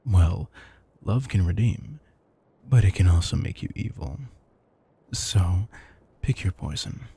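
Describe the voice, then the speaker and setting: A man's voice: sits low, expressive, takes it slowly, gravelly, dark tone, husky, full character voice. A man playing an affectionate, theatrical retired supervillain turned doting house husband, teasing and mock-grandiose in an intimate audio roleplay. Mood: affectionate, coaxing.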